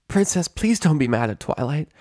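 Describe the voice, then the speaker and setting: Guy doing a cocky young pegasus girl, loyal and defiant, pitched up, storybook style. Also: sing-song, moderate pace, darkish tone, dry recording, studio quality. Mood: pleading.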